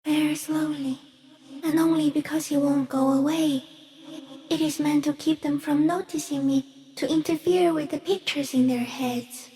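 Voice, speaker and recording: A woman voicing a giant stick insect in a video game, gentle, solemn and cryptic, speaking in slow, measured phrases. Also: high voice, fairly even intonation, creaky. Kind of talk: video game character acting.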